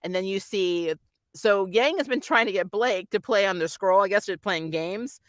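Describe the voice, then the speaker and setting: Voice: medium pitch, normal speed, fairly bright tone, dry recording, clean studio sound. A reviewer chatting casually and animatedly about a show, with a giggly manner, often acting out what characters say. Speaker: reviewer and channel host. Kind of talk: casual fan review commentary.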